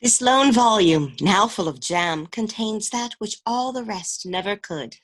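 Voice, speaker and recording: A woman plays a mournful, embittered castaway in an absurdist radio play. The delivery is florid and declamatory, at an average female pitch, recorded over a video call. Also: lively intonation, a little slow. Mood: dramatic. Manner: theatrical, declamatory. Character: bitter, mournful castaway with archaic, florid speech.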